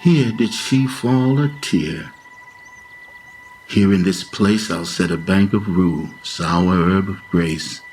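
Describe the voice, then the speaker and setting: A man playing a grave, philosophical gardener in a Shakespearean radio play, speaking sorrowful verse in a measured, solemn way. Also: deep voice, a little mellow, faint music behind. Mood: pitying, sorrowful.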